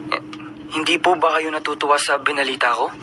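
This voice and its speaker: An actor playing a polite high school student who is top of his class and hurt by his cold father, in a radio drama. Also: steady tone, a little fast, lightly acted. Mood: earnest. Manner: polite, deferential, often subdued. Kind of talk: Filipino radio drama acting. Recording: noisy radio broadcast recording.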